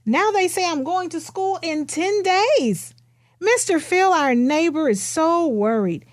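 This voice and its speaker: A quiet, earnest kindergarten girl tells her storybook tale , read by a woman. The voice is high and the phrasing simple and expressive. It sounds dry, with hardly any room. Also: unhurried, dry recording. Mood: earnest, matter-of-fact.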